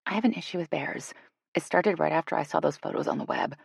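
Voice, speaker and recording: A woman voicing a nervous, sarcastic, giggly woman in an audiobook, in a quick, hushed manner. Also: low voice, gravelly, husky, no echo, clean studio sound, heavily acted. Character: jittery, giggly, sarcastic woman scared of bears. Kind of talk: audiobook character acting.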